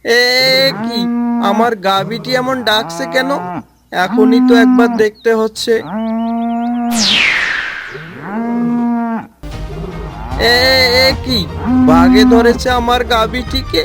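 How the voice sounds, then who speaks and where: A man voicing a kindly young village cowherd in a cartoon story, expressive and exclamatory in his delivery. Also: very high voice, measured pace, over loud music. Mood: alarmed. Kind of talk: dramatized cartoon story dialogue.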